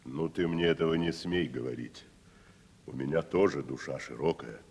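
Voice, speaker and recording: An actor playing a proud, haughty provincial tragedian, patronizing and theatrical, in a 1970s audio stage drama. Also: male voice, rumbling low, moderate pace, creaky, a little mellow, husky, exaggerated voice. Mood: proud, condescending. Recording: reverberant hall-like studio recording.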